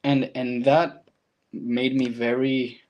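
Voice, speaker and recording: A man's voice: medium pitch, flat delivery, slow pace, darkish tone, slight background noise. A man from Argentina with a Latin American accent and Spanish as his first language, speaking as a guest and telling his personal story reflectively in conversation.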